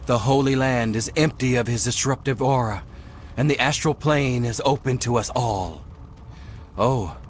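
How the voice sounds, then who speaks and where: An actor voicing a serene, devout male cult leader in a video game, soft-spoken and formal. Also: mid-range voice, a little hiss or hum, lightly acted.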